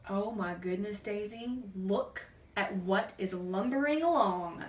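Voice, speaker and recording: A woman's voice: mid-range voice, a little slow. A woman reading a children's picture book aloud expressively, with chatty asides and questions to a young listener. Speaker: storybook reader and teacher. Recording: roomy, distant microphone, background noise.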